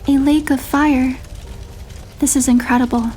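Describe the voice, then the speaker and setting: Woman, high-pitched voice, scripted romantic narration, measured expressive delivery, soft music behind. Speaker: narrator and heroine voice. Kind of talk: scripted first-person romantic story narration.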